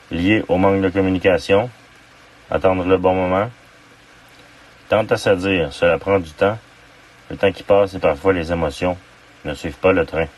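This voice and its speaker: A man, an amateur poet reciting his own verse in deliberate, halting phrases. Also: low voice. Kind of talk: poetry recitation. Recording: distant mic with faint background noise.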